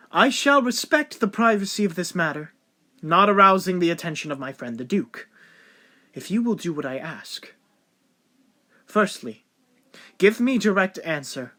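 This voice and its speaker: An actor playing an upright, honorable military lieutenant, earnest and formal in a period audio drama. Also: male voice, high voice, pushed and bright, heavily acted. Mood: stern, demanding. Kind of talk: stage-play style period audio drama acting.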